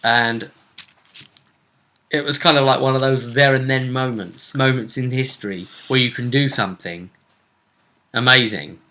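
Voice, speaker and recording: A man co-hosting a casual recap of a tabletop game as game master, telling it in a measured storyteller's way with pauses. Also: medium pitch, pushed and bright.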